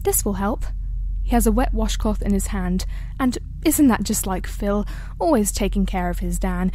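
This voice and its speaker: A woman's voice: medium pitch, normal speed, dark tone, slight background noise. A woman giving a dramatic reading of a first-person story. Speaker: fan fiction reader.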